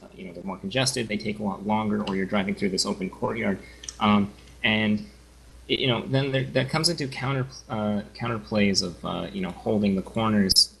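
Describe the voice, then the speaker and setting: A man's voice: fairly low pitch, vocal fry, fairly bright tone, slight background noise, rough recording. A man from Canada co-casting a game match, explaining in an easygoing way with many fillers. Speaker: co-caster. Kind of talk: casual game commentary.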